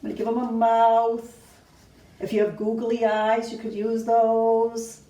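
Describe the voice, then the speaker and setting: A woman's voice: on the high side, completely flat delivery, a little slow, dark tone. A woman teacher giving simple step-by-step craft instructions to young children. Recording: roomy, distant mic, faint noise.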